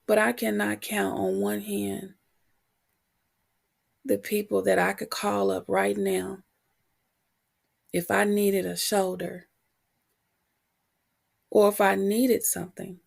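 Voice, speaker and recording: A woman podcast host talking reflectively and candidly on her own, her voice husky and prone to cracking as she gets over a cold. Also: mid-range voice, level tone. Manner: candid, unhurried, pausing between short phrases. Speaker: podcast host and motivational coach. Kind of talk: reflective solo podcast talk.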